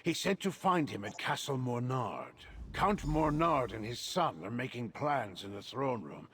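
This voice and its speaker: An actor playing a grave, defiant druid elder in a fantasy video game, speaking in a measured, solemn way. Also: male voice, mid-range voice, animated, a bit bright. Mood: matter-of-fact. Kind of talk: video game quest dialogue.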